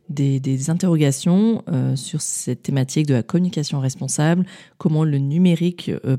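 A woman's voice: sits low, gravelly, mellow, no echo, clean studio sound. A woman from France hosting a podcast, chatting casually with a guest and then wrapping up for listeners, with many fillers and restarts. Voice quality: frequent fillers and restarts, laughs easily. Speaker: podcast host.

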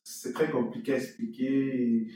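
A man's voice: medium pitch, flat delivery, moderate pace, dark tone. Earnest man in an echoey room. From Cameroon. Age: young adult. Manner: earnest, repeats words while thinking. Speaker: podcast guest, entrepreneur. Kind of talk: conversational interview. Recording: echoey distant room sound.

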